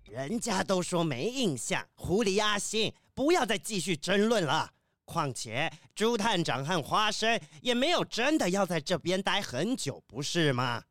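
Animated, kindly older kiwi bird professor, acted out. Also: medium pitch, measured pace, no echo, clean studio sound, faint music behind. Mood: chiding. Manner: hesitant interjections, gently scolding. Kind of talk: children's audio drama acting.

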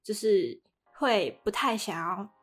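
A woman's voice: medium pitch, very animated, slow. A woman in her early twenties with a Taiwanese Mandarin accent co-hosts a casual, explanatory podcast, drawing out the particles at the ends of her phrases. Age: early 20s. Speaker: podcast co-host. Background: background music.

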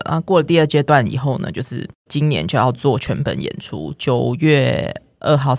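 A man's voice: medium pitch, vocal fry. A man with a Taiwanese Mandarin accent, talking informally as a podcast guest. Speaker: podcast guest, theatre and publishing professional. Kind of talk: casual podcast chat.

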